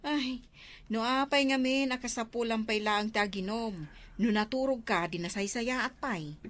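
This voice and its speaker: A woman's voice: a little high, moderate pace, a bit bright, close to an everyday voice. A woman playing a proud, nagging village housewife in a comedy drama, speaking with an Ilocano accent.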